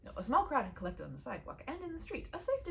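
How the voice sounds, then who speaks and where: A woman reading a humorous story aloud with expressive, deliberate, mock-formal narration. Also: high voice, vocal fry, a little hiss or hum.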